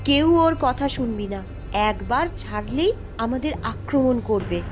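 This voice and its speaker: A brave young cartoon princess, voiced by a woman, speaking in a high voice. She is commanding and dramatic, with music playing clearly behind her. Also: expressive, moderate pace, close to an everyday voice. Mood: defiant, commanding. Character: bold young village princess, brave eldest sister. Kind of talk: cartoon voice acting.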